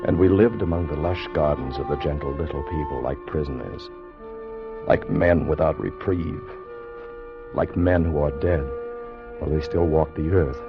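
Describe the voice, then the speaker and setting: A man acting a weary, fearful time traveler in 1950s radio drama, narrating his ordeal in a grave, measured, voice. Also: extremely low pitch. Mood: grim, haunted. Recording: muffled old radio broadcast with music.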